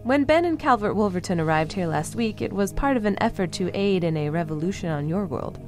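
A woman's voice: medium pitch, lively intonation, fairly quick, faint music behind, close to an everyday voice. A woman playing a sardonic female android who hosts a broadcast in an audio drama, wry and formally articulate. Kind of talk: audio drama, talk-show hosting.

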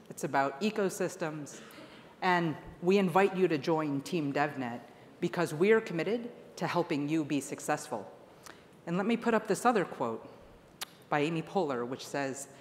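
A woman's voice: on the low side, slight background noise. A woman delivering an earnest, emphatic keynote speech to a conference audience. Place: large conference hall stage. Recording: long hall reverb.

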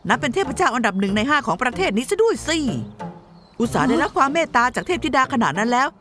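A woman's voice: high voice, big pitch swings, fast talker. A woman voicing a small, proud, teasing spirit creature that scolds like a mentor in a Thai anime dub. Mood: lecturing. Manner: teasing, lecturing, brash. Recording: voice over background music.

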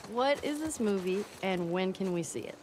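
A woman's voice: mid-range voice, animated, normal speed, a little mellow, a little hiss or hum, natural voice. An actress playing a curious, teasing teenage girl in a naturalistic video game style. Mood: playful wonder. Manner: casual, teasing. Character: curious, playful, sassy teenage girl exploring a ruined museum.

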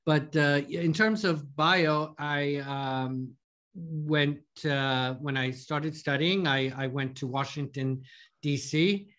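A man's voice: a little high, fairly even intonation, slow, a bit bright. A man in his fifties from New York with a New York accent, a podcast guest telling his story in casual interview talk, with many fillers and restarts. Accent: American, New York. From New York, USA. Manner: hesitant, many fillers and repeated words.

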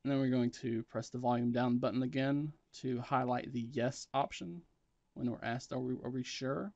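A man's voice: medium pitch, steady tone, normal speed, darkish tone, no room sound, highs cut off. A man presenting a step-by-step tech tutorial in a plain, matter-of-fact instructional way.

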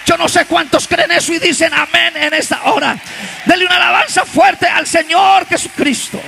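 A middle-aged man preaching a fervent Pentecostal sermon into a handheld mic on a large church stage, rising to shouts. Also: very high-pitched, fairly even intonation, normal speed, gravelly, slight background noise. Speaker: pastor. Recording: long hall reverb.

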